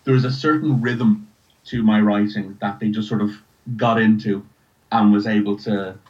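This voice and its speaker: Deep-voiced Irish man, slightly muffled recording. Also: fairly even intonation, moderate pace, darkish tone. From Ireland. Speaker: writer and podcast creator, interview guest. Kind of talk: casual interview conversation.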